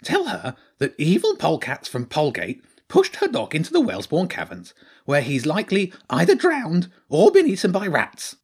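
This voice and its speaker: A fussy, cautious little spiny creature from a storybook, voiced by a man, pitched high with big swoops. He frets and repeats himself, blunt and matter-of-fact about bad news. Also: huge pitch swings, unhurried, husky, full character voice.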